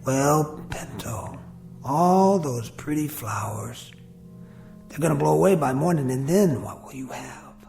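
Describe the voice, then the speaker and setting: A man's voice: mid-range voice, lively intonation, a little slow, vocal fry, a little mellow. An American actor playing a proud, wary gunman with a folksy drawl in an old television western. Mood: uneasy bravado. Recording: vintage TV soundtrack with music underneath.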